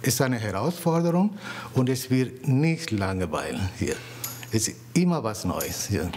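A man from Venezuela, speaking haltingly and searching for words as a concierge in an interview. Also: medium pitch, very animated, a little mellow, soft background music. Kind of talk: casual interview.

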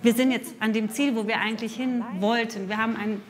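A woman's voice: on the high side, fairly even intonation, a little fast. A woman who supports new parents, speaking in an interview in a heartfelt, enthusiastic way. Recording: reverberant room from a distance.

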